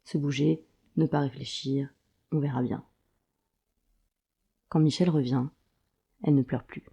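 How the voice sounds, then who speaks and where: A woman narrating a scripted audio drama in a deliberate, wry storytelling manner. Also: very deep voice, fairly even intonation, creaky, warm tone, breathy edge.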